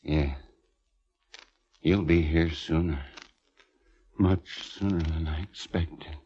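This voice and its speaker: A man's voice: a bass voice, steady tone, slow, very noisy. A man acting in a 1940s radio drama as an unstable, grandiose composer with a theatrical, rhapsodic delivery. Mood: resigned, ominous. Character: unstable, grandiose, morbid male symphony composer. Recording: dull vintage radio recording, music behind.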